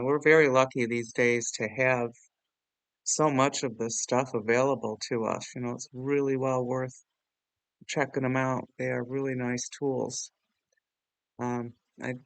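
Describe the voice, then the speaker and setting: A man hosting a software tutorial in a casual, rambling, self-deprecating way. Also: medium pitch, fairly even intonation, a little slow, clean tone, no echo. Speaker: tutorial host.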